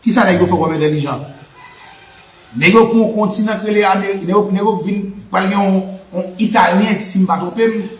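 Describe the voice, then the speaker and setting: A man's voice: sits high, moderate pace, echoey room, low-quality recording. A Haitian man with a Haitian Creole accent, hosting a live political and history talk with a fervent, repetitive, preaching cadence. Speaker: channel host.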